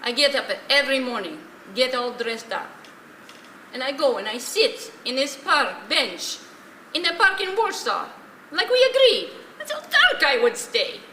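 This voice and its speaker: A woman playing a sardonic old woman, high-voiced. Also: sing-song, unhurried, pushed and bright, full character voice. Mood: bitter, sarcastic. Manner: dry sarcasm, scolding, Yiddish-flavoured phrasing. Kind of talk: stage play acting, dramatic dialogue. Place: stage in a theatre, distant microphone. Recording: echoey, noisy theatre recording from far off.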